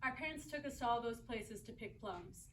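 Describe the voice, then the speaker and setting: A woman's voice: on the high side, animated, normal speed, a little hiss or hum, close to an everyday voice. A young woman student actor playing a migrant farm girl who recalls hardship in a theatrical oral history dramatization. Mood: reminiscing. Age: young adult. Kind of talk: theatrical two-person oral history storytelling. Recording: distant microphone.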